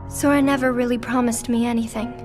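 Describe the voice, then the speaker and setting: A woman playing a timid, sorrowful, gentle teenage girl in a game cutscene, soft and hesitant. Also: on the high side, flat delivery, fast, in a large hall, soft background music, natural voice. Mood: remorseful. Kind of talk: animated game cutscene acting.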